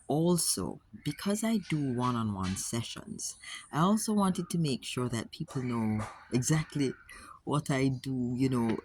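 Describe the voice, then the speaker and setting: A woman with a Jamaican accent, speaking to camera as a wellness coach in a measured, earnest way, often repeating words for emphasis. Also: very deep voice, darkish tone, a little hiss or hum. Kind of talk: casual talk to camera.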